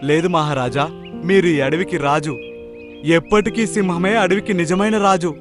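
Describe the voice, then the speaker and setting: A man's voice: high voice, normal speed, over music, lightly acted. A man voicing a brave, kind, fatherly crocodile in a children's cartoon, speaking earnestly. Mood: humble. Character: brave kind blue crocodile, cursed hunter, fatherly protector. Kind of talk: Telugu children's cartoon voice acting.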